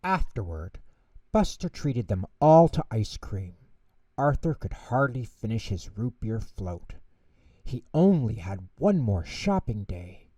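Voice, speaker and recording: A man reading a children's bedtime story in an expressive, theatrical narration style, recorded in a roomy space from a distance. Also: mid-range voice, breathy edge. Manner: measured, theatrical delivery with character voices. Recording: roomy echo, distant microphone.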